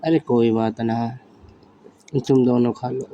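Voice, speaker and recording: A man's voice: medium pitch, fairly even intonation, slow, warm tone. A man chatting casually on the phone in a drowsy, laid-back manner. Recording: phone call with faint background noise.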